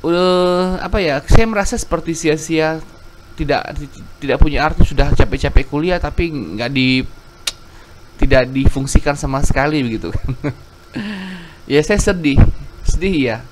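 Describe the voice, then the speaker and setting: A man's voice: a little high, normal speed, noisy background. A man in his thirties, a YouTube storyteller reading out a viewer's letter and then telling his own story in a rambling, self-correcting way.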